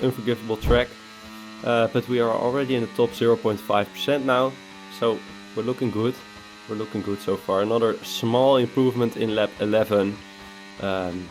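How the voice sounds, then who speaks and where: A man's voice: lowish voice, a little hiss or hum. A man, talking through his racing game laps in a laid-back, chatty voice-over while nursing a cold. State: has a cold. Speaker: racing game content creator. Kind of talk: casual gameplay commentary voice-over.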